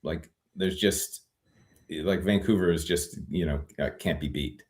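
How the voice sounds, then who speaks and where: A man with a very low voice speaks in a relaxed interview, thinking aloud as he goes. He circles back, restarts sentences and fills pauses with "um" before landing his point. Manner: reflective, many fillers and restarts. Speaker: guest, retired immigration lawyer. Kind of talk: conversational interview.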